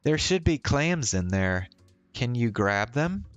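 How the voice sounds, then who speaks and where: Guy doing a chirpy casual young woman for a visual novel, voice lifted higher, dry sound. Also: very animated, takes it slowly, smooth, dry recording, dull audio. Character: upbeat, casual young woman keen on cooking.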